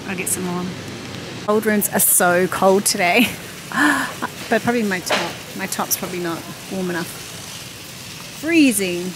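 A woman talks to her phone camera as she wheels through a big warehouse store. She chats casually and reads out prices to herself along the way. Her voice sits at an everyday middle pitch, with clear store noise behind her. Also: a little slow, long pauses. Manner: chatty, reading out prices to herself. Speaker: vlogger. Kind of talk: shop-with-me vlog narration. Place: large warehouse store, indoors. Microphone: handheld phone camera. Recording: noisy warehouse store ambience. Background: store ambience.

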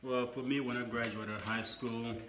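A man in his early twenties with a mid-range voice shares a personal anecdote as a podcast co-host. His delivery is casual and conversational. The recording is echoey, with clear background noise. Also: mid-range voice, level tone, moderate pace. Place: indoor room with brick and wood-panel wall.

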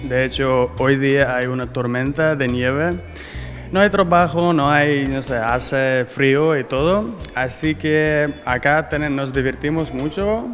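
A man's voice: mid-range voice, fairly bright tone. Young man in a reverberant hallway. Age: young adult. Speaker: student. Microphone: handheld reporter mic.

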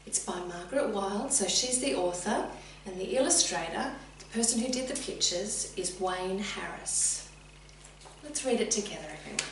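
A middle-aged woman reading a children's story expressively and deliberately, addressing young listeners directly. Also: mid-range voice, animated. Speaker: teacher reading a picture book. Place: indoors, sitting room with bookcase. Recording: very echoey room, distant mic, faint hum.

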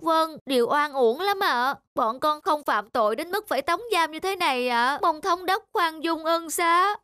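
A woman's voice: high-pitched, expressive, unhurried, pushed and bright, no room sound, exaggerated voice. A woman voicing a wheedling male convict in a cartoon, pleading and whining in false innocence. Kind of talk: scripted cartoon dub. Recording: music under the dialogue.

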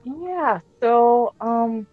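A woman's voice: fairly high pitch, animated, very slow, faint music behind. A woman in her thirties, born in Bulgaria and raised in Canada, chatting casually as a guest over a video call, with many fillers and restarts. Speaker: guest pianist. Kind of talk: casual interview chat. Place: home room indoors. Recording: tinny video call audio.

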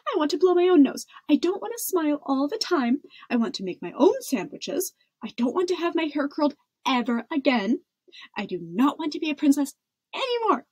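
A woman's voice: high-pitched, big pitch swings, normal speed, breathy edge, heavily acted. A woman voicing a stubborn, rebellious young princess in a storybook, whining emphatically. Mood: fed up. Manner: whiny, emphatic, defiant. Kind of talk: storybook character voice.

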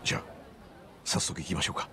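An actor playing an easygoing male sidekick who talks in a relaxed, polite way. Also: fast, crowd noise, background noise, lightly acted. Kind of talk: video game cutscene acting.

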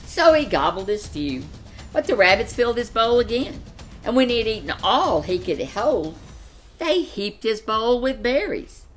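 Older woman reading a story, lively intonation. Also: on the high side, moderate pace, soft background music. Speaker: storyteller reading a children's picture book. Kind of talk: expressive read-aloud storytelling. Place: furnished living room, seated in an armchair.

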